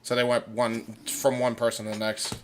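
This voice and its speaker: A man's voice: medium pitch, flat delivery, moderate pace, slight background noise. A man voice actor chatting and joking on a livestream, swearing freely and stammering into his sentences. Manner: heavy casual swearing, stammering starts. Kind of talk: casual chat with joking banter.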